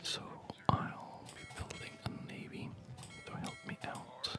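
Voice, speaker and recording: A man giving whispered ASMR game commentary as a video host, in a soft breathy whisper with a rambling, hesitant, unhurried manner. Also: loud music behind the voice.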